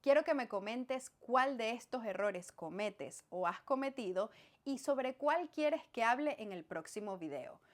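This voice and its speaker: A Venezuelan woman with a fairly high voice teaches in a clear, steady lesson. She explains each point plainly and talks straight to the viewer. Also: measured pace. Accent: Venezuelan Spanish. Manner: clear, didactic, addressing the viewer directly. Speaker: language teacher.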